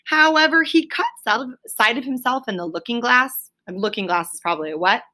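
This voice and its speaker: Teacher lady reading a kids story out loud, bright clear delivery, voice a bit high, careful phrasing. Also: brisk, slight background noise. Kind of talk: expressive children's story read-aloud with explanatory asides.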